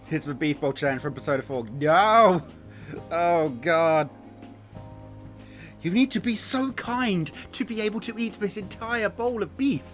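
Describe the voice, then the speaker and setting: A playful male streamer with a fairly high voice and very lively pitch. He banters and swears excitably over faint game music. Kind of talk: live game stream commentary, joking chat.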